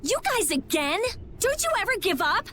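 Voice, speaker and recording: An actress playing a calm, dry-humored young woman fighter in a video game cutscene. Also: very high-pitched, normal speed, a little hiss or hum, full character voice. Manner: cool and dry.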